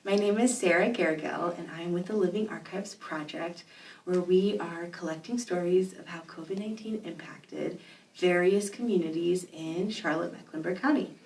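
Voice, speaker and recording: A woman's voice: lowish voice, moderate pace, a little mellow. Woman interviewer, encouraging, distant mic, roomy echo, faint background hum. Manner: encouraging, short affirmations. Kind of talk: oral history interview. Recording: echoey room, mic off at a distance.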